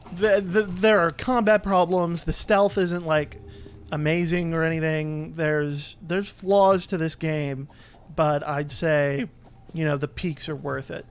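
Male game commentator, slightly high voice, casual and explanatory, pauses mid-thought.

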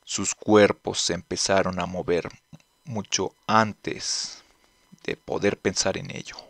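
A man voicing a grand, noble heroic mentor in an anime fan dub, with deliberate, theatrical delivery. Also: deep voice, fairly even intonation, gravelly, fairly bright tone, no room sound, heavily acted. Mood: solemn, grateful.

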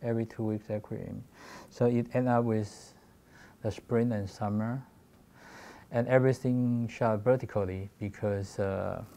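A man in his forties from Taiwan with a Taiwanese accent, giving a halting talk about his work with many fillers and restarts. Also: fairly low pitch, fairly even intonation, vocal fry, dark tone. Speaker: guest photographer. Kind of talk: presentation talk.

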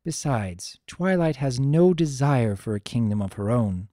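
A narrator voicing a wise, weary female pony princess, the elder sister, speaking in a measured, solemn and gentle way for a story reading. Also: medium pitch, animated, dry recording, clean studio sound, natural voice. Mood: gentle, amused.

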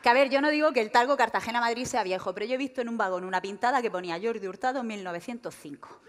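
Murcian lady doing stand-up, lively and mocking, voice ringing through a big hall. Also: on the high side. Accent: Murcian Spanish. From Region of Murcia, Spain. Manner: animated, mocking, quotes herself and her son in quick asides. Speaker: stand-up comedian. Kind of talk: stand-up comedy monologue. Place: large theater stage with live audience. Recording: long reverb of a large hall.

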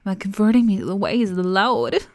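A woman's voice: medium pitch, quick pace, lightly acted. A woman playing a remorseless, sarcastic criminally insane asylum patient in a dramatic audio roleplay, theatrical and taunting. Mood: mocking. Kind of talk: ASMR-style dramatic monologue roleplay.

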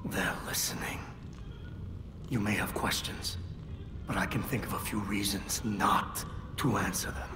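An actor playing a sly, guarded crime boss and bitter ex-convict in a video game, cagey and sardonic. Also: male voice, on the low side, unhurried, long pauses, background noise. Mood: guarded, menacing. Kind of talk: video game villain acting. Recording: roomy echo under clear music.

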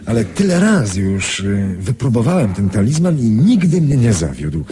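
An actor playing a composed, courteous middle-aged gentleman gambler in a radio drama, speaking in a measured way. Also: medium pitch, very animated, natural voice. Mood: modest, sincere. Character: self-assured older gentleman gambler trusting his talisman.

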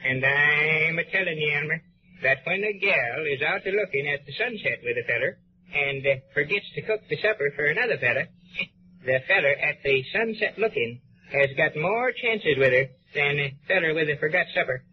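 A man's voice: a little high, animated, normal speed, close to an everyday voice. A man playing a mild but needling small-town detective sidekick with a rural American accent in a 1930s radio comedy. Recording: muffled, noisy 1930s radio recording.

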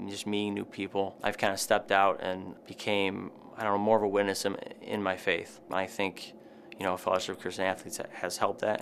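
A man's voice: on the low side, creaky, a bit bright, slight background noise. A young man, a college athlete, talking in an interview testimonial. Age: young adult. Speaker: college football player.

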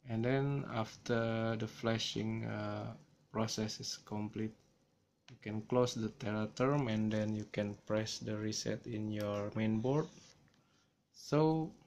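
A man from Indonesia with an Indonesian accent, talking through a software tutorial in a slow, hesitant way with many fillers, recorded on a smartphone. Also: lowish voice, steady tone, slight background noise. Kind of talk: screen-recorded coding tutorial. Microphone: smartphone camera filming screen.

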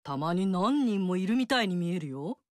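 A woman's voice: on the low side, expressive, moderate pace, a little mellow, dry recording, close to an everyday voice. An actress voicing a brash, motherly fire-goddess warrior woman in a studio game scene, talking with bold, teasing, big-sister swagger. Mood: warm. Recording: dry studio recording.

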